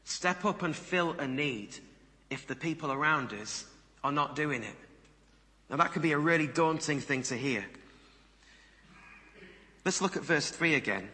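Male preacher, very bright, crisp-edged tone, conversational sermon delivery, long hall reverb. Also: a little high, expressive, unhurried, pauses often. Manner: conversational, rhetorical questions to listeners. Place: large reverberant room before a congregation.

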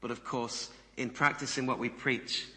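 Bright-voiced man preaching in a reverberant hall. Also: medium pitch, fairly even intonation, normal speed. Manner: conversational, rhetorical questions to listeners. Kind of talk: sermon. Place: large reverberant room before a congregation.

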